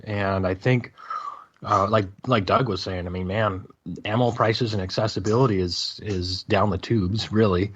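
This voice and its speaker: Male panel guest, informal and conversational, husky low tone, dull recording. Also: steady tone, normal speed, fairly bright tone. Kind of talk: casual opinion talk.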